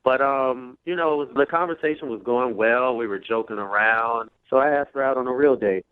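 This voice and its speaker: A man's voice: medium pitch, brisk, smooth. A self-assured, boastful man chatting casually as a caller to a radio show over the telephone. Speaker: phone-in guest on a radio show. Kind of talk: casual phone chat. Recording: thin narrowband phone line.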